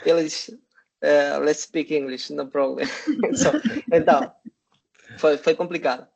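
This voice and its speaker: Gravelly Peruvian man speaking careful Portuguese. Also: on the high side, fairly even intonation, darkish tone. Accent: Spanish-speaking Peruvian, in Portuguese. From Peru. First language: Spanish. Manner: halting, many filler "eh"s. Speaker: guest student. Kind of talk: online panel chat. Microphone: video call. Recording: dull lo-fi online call audio.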